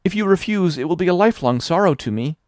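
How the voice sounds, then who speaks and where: A man's voice: mid-range voice, big pitch swings, fairly quick, darkish tone, no echo, clean studio sound, voice pushed up. Man putting on a gushing orphan girl. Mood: pleading. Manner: gushing, theatrical pleading. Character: dramatic imaginative orphan girl, about eleven.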